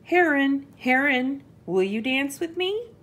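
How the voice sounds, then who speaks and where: A woman's voice: high voice, big pitch swings, slow pace, a bit bright, voice pushed up, full character voice. A woman voicing a small, eager male mouse in a children's storybook, pleading in a singsong way. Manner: singsong, pleading, repeats names.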